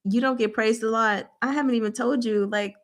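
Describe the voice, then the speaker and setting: A woman hosting a casual book discussion, retelling scenes in a giggly, animated, slangy way and acting out the dialogue. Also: fairly high pitch, fairly quick.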